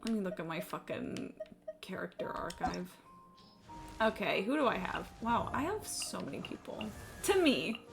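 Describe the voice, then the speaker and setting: A woman's voice: lowish voice, big pitch swings, normal speed, vocal fry. A woman chatting casually on a gaming livestream in a rambling, sweary, self-deprecating way. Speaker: live game streamer. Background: game music.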